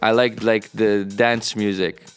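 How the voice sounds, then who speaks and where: A man from Canada and Greece giving casual interview answers as a DJ, often halting to search for words. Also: lowish voice, level tone. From born in Canada, moved to Greece at thirteen. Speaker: DJ and music producer. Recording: music playing underneath. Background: music.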